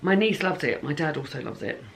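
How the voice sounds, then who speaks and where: Woman, book vlogger, rambling home diary, gravelly fry, faint background hiss. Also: low-pitched, animated, brisk. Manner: chatty, rambling, self-correcting. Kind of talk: casual vlog diary.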